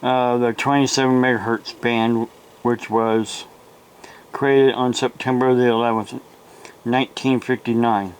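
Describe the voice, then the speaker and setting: An American man hosting an informal, unscripted hobby vlog, talking in a halting, rambling way with long pauses. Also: medium pitch, level tone, clear, resonant tone, poor audio. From United States. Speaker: YouTube radio hobby host.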